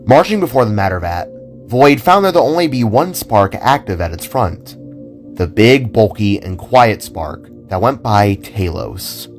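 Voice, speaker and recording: A man's voice: fairly low pitch, expressive, unhurried. A man narrating a scripted audio drama as a dramatic storyteller. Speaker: narrator and writer. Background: music.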